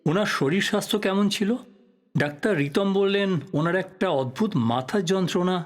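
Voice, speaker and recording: A man playing a thoughtful, polite writer probing a death in an audio drama, speaking in a measured, reflective way. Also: sits high, lively intonation. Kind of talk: Bengali audio drama acting.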